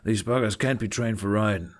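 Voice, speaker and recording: A man voicing a gruff, scolding bard who keeps horses, in a fantasy audiobook. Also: lowish voice, brisk, natural voice.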